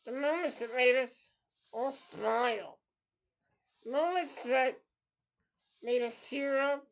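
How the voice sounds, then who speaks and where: A man's voice: extremely high pitch, takes it slowly, creaky. A man in his thirties hosting a solo podcast, talking in an earnest, repetitive way and circling back to the same phrases. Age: 30s. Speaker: podcast host.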